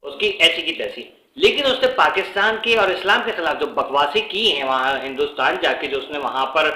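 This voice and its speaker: A Pakistani man with an Urdu accent speaks in a fairly high, bright voice. He talks haltingly with many fillers and drops in English words now and then. The room rings with echo. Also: expressive. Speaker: vlogger. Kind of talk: casual commentary vlog.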